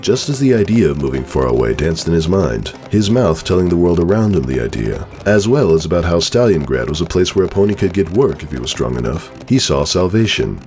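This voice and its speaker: A man's voice: low-pitched, sing-song, fairly quick, few pauses, darkish tone, faint music behind. A man giving a dramatic storybook narration of a fan fiction tale.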